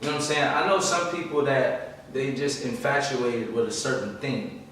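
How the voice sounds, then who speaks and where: A man from Oakland chatting casually in a group podcast, relaxed and full of fillers like "you know what I'm saying". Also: mid-range voice, normal speed, a little hiss or hum. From Oakland, California. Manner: relaxed, conversational, many fillers. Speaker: podcast co-host. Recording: roomy echo from far mic.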